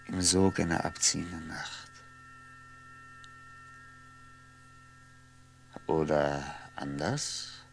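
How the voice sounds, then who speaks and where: An actor playing a lonely, brooding man who talks to himself in a halting, poetic way in a 1960s radio play. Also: deep voice, gravelly, slight background noise, exaggerated voice. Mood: dreamy, intense. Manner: musing, halting, poetic, sometimes near whisper.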